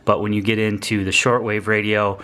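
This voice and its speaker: Male product reviewer, slightly deep voice, informal and plainspoken, walking listeners through features casually. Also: little pitch movement, fairly quick, pushed and bright.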